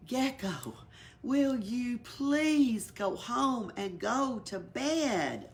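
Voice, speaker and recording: Storybook elephant boss, woman reader: male, patient, authoritative, weary, slow delivery, creaky edge. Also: a little high, expressive, voice pushed up, heavily acted. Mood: exasperated.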